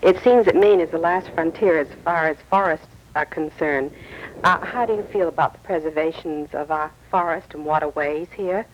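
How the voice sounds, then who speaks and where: Woman, low voice, polite caller, muffled phone line, faint hiss. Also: normal speed. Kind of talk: phone-in question.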